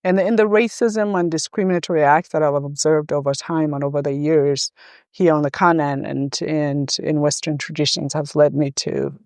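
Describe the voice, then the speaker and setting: Middle-aged woman guest, measured and hesitant, clean resonant tone, dry close sound. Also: sits low, steady tone, a little mellow, no echo. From Rwanda, raised in Uganda. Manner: thoughtful, hesitant with many ums. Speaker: interview guest, gender professional. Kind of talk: conversational interview.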